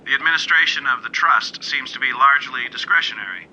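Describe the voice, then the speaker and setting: An actor playing a shrewd, unflappable American defense lawyer in a radio drama, with a clipped, questioning manner. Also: male voice, mid-range voice, fast talker, sharp tone, dull audio, slight background noise. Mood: measured, probing. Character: shrewd, cool male criminal defense lawyer.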